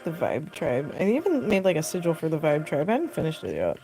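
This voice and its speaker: Deep, gravelly-voiced woman streamer. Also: wildly swooping pitch, quick pace, dark tone, faint music behind, low-quality recording. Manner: rambling, filler-heavy, laughs often. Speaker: costume artist streaming live. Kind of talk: casual chat while sculpting. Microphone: phone.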